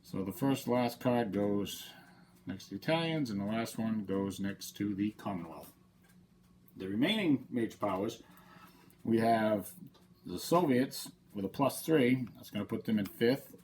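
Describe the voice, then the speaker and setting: A man's voice: lowish voice, darkish tone, slight background noise. A man with a head cold hosting his channel, talking casually through a board game playthrough and explaining the rules step by step. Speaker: channel host.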